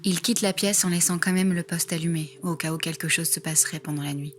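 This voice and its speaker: Woman as detached narrator in a radio play, talks fast and monotone. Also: deep voice, close to an everyday voice. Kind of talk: scripted audio fiction narration.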